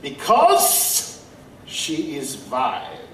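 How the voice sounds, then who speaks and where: An actor playing a learned, haughty vampire in an audio drama, speaking formally and deliberately with a brooding, pompous manner. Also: male voice, medium pitch, wildly swooping pitch, exaggerated voice. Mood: reverent, solemn. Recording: roomy, distant, faint background noise.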